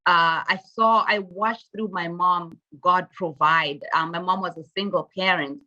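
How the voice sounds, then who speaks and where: A woman speaks as a guest minister, earnest and reflective, often laughing lightly and pausing on fillers. Her voice sits low yet has a forceful, bright edge. The recording is dull and lo-fi. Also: fairly even intonation. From Zimbabwe. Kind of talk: casual testimony in an interview.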